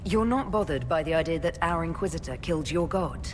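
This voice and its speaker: A woman voicing an earnest woman leader in video game dialogue, asking measured, inquisitive questions. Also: low-pitched, lively intonation. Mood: inquiring. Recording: game audio with reverb and music.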